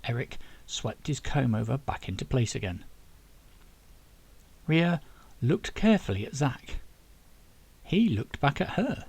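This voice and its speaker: A man reads a story aloud in an audiobook style. His voice is husky and gravelly, and his pitch moves around in a lively way as he narrates. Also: measured pace, pauses often, no echo. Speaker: author reading own novel.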